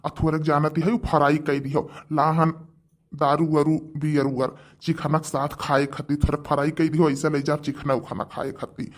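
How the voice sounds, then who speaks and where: A man's voice: fairly high pitch, little pitch movement, moderate pace, close to an everyday voice. A man voicing a bossy old village husband in a homemade cartoon, with an Awadhi accent and an insistent, ordering manner. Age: older. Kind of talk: homemade cartoon dialogue in rural dialect.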